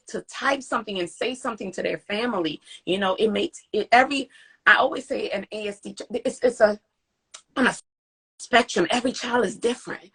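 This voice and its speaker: A woman with a bright, forceful voice and a husky, slightly rough edge hosts a live video call interview. She talks earnestly and with feeling, acting out the words of people she quotes. Her voice carries a grainy texture throughout. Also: mid-range voice. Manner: earnest, animated storytelling with emphatic quoted speech. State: recovering from voice loss. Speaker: interview host and parent advocate. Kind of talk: casual live-stream interview.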